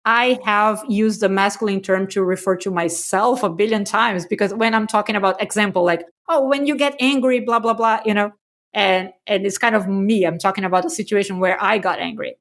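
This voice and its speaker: A woman from Brazil, a native Portuguese speaker, co-hosting a podcast in casual, animated conversation and laughing often. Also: mid-range voice, fairly quick. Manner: animated, laughs often, self-mocking asides. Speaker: podcast co-host.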